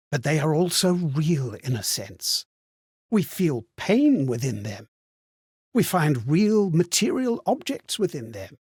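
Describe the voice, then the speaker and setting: Casting: a wistful, memory-lost ghostly knight for a fantasy game; raised pitch, husky, solemn and old-fashioned, very dry sound. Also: male voice, no room sound, exaggerated voice. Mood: pensive. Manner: solemn, archaic, ponderous phrasing. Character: haunted, amnesiac former Templar, wistful ghostly man. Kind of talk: dramatic video game character monologue.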